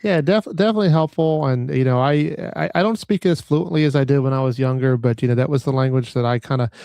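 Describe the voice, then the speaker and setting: A man hosting a podcast interview in casual chat, often stammering, repeating words and filling pauses. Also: medium pitch, gravelly, a little mellow, clean tone, no room sound. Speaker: podcast host.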